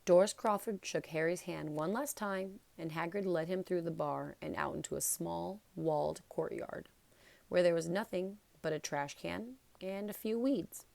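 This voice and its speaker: Low, creaky-voiced woman reading a story. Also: moderate pace, a little mellow, dry recording. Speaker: storybook narrator. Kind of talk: read-aloud narration of a children's novel.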